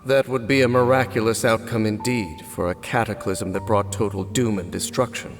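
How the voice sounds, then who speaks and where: A man playing a stoic, weary, centuries-old cursed knight in a video game, speaking in a solemn, measured and formal way. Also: fairly low pitch, fairly even intonation, lightly acted. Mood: troubled, brooding. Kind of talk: video game cutscene acting. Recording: long reverb, music behind.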